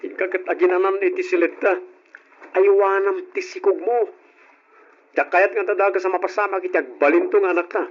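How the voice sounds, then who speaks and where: A bossy young elder brother. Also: male voice, high-pitched, steady tone, a little fast. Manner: lecturing, scolding. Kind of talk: old radio drama acting. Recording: dull old radio recording.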